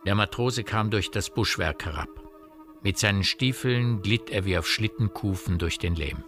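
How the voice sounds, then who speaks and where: Measured literary narrator, low pitch, radio play style, music behind. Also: male voice, animated, fairly bright tone.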